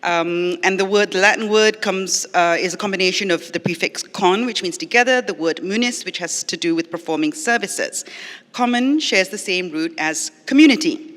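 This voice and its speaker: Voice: medium pitch, moderate pace, a bit bright, long reverb tail. A middle-aged lecturer and arts educator from Malaysia gives an academic forum talk, partly read from notes, earnest and explanatory with frequent ums and uhs. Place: library event space at a public forum.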